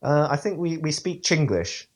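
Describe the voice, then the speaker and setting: A man's voice: on the high side, sing-song, normal speed, a little mellow. A man answering interview questions, hesitant, with many fillers and restarts. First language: English. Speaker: event rider, interview guest. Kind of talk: podcast interview.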